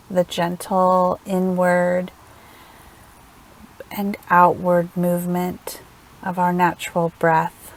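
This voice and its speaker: A woman leading a guided meditation in a gentle, soothing manner, unhurried and leaving long pauses between phrases. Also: fairly low pitch, rough recording. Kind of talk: guided meditation, slow instructional narration.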